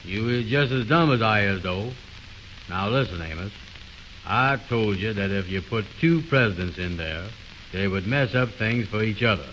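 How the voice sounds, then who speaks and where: A low-pitched, blustering know-it-all lays down his opinions in a 1920s radio comedy. He is pompous and muddle-headed, with folksy, ungrammatical speech. The sound is a dull old recording with faint hiss. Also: expressive, moderate pace, fairly bright tone. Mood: exasperated.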